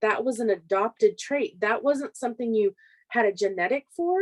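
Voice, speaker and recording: A woman's voice: a little high, normal speed. A woman co-hosting a podcast, friendly and affirming, often backing up the others with "yeah" and short replies. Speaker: podcast co-host. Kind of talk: casual podcast discussion.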